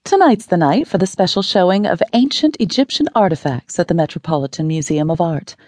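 An audiobook narrator voicing a female TV newscaster with a measured broadcast delivery. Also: mid-range voice, expressive, darkish tone, no echo, pitched above the performer's own voice, close to an everyday voice. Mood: cheerful. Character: grave female TV newscaster, then cheerful. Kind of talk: audiobook character reading.

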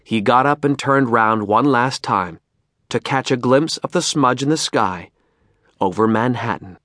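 A man reading fiction as an audiobook narrator, in an expressive scripted style. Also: mid-range voice, unhurried, no echo, clean studio sound.

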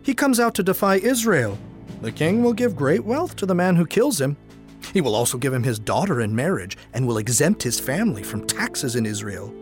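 An actor playing an excitable ancient Israelite soldier spreading news in a dramatized scripture audiobook. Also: male voice, a little high, normal speed, faint music behind. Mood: excited.

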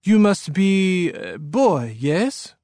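A man voicing a warm, sincere middle-aged father and scientist with a French accent, courteous, as an audiobook character. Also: high-pitched, sing-song, very slow, no echo, studio quality, voice pushed up, exaggerated voice. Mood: warm, welcoming. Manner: earnest, courteous.

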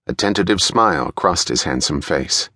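Deep, forceful male audiobook narrator. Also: expressive, normal speed, dry recording, studio quality. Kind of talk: dramatic scripted narration of a thriller.

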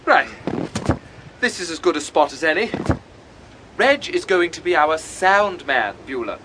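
Pompous am-dram director: high-pitched, widely swinging delivery, grand theatrical enunciation, comic radio drama, some background noise. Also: male voice, big pitch swings, measured pace. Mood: haughty. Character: pompous, self-important amateur theatre director, a man.

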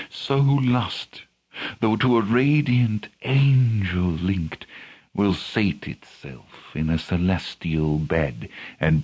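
A man performs the ghost of a wronged, murdered king in a stage tragedy. The voice is very low and breathy, drawing out each phrase slowly with grave, bitter solemnity. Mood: anguished indignation. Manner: declamatory, solemn, drawn-out. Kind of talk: Shakespearean stage tragedy monologue.

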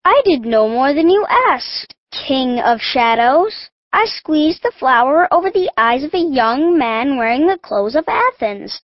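Gleeful young hobgoblin for Shakespeare audiobook: high, playful, cheeky, unhurried delivery. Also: female voice, animated, no room sound, dull audio. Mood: cheeky, unrepentant. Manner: playful, theatrical. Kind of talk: full-cast Shakespeare audiobook acting.

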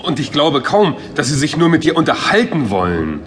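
A man's voice: a little high, big pitch swings, normal speed, a bit bright. A man playing a sceptical, blunt disciple in a children's audio drama, with a pointed way of talking. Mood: warning.

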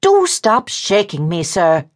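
A woman voicing a headstrong young Regency lady, snappy and defiant, with a quick temper and old-fashioned wording. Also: on the low side, expressive, voice pushed up. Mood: snappish. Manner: emphatic, snappy, defiant, archaic polite wording.

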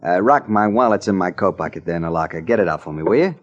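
An actor playing a hurried, street-talking boxer in an old radio drama, speaking in slang. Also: male voice, sits low, expressive, noisy background. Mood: urgent. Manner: street slang, urgent and secretive. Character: male boxer in his dressing room, hurried, street-talking. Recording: dull 1940s radio recording.

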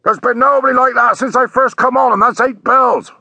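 High-pitched, stubborn sailor. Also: male voice, steady tone, fairly quick, sharp tone, husky, dry recording, heavily acted. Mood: insistent. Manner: insistent, ungrammatical, salty working speech. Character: stubborn male merchant sailor on watch. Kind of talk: audiobook character voice.

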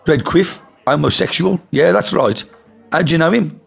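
A man's voice: mid-range voice, normal speed, slightly rough, faint music behind, voice pushed up. A man voicing a nervous, lying male crook from Birmingham who talks eagerly and pushily, with a Birmingham accent. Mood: urgent. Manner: eager, pushy, blurting.

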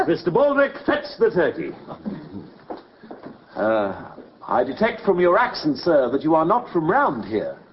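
An actor playing a kind, overly generous Victorian shopkeeper who is politely effusive. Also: male voice, a little high, wildly swooping pitch, measured pace, pauses often, lo-fi sound, a little hiss or hum. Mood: genteel.

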